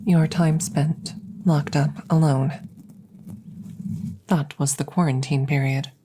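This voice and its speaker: Low, soft-spoken alien scientist played by a woman. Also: fairly even intonation, a little hiss or hum. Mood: measured, explanatory. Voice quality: soft, breathy close whispering. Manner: measured, halting, formal, clinical phrasing. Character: female alien scientist, clinical, curious, calm examiner. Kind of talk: whispered ASMR audio roleplay. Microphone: close-up microphone.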